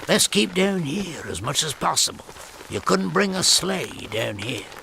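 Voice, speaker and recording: A man's voice: on the high side, sing-song, unhurried, gravelly, sharp tone, heavily acted. An actor playing a wary, practical male beaver guide in a radio drama, with noisy ambience. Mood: cautious.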